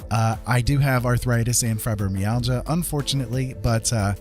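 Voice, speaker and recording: A man chatting casually on a livestream as an art streamer, rambling along in a gentle, earnest way. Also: medium pitch, moderate pace, faint music behind.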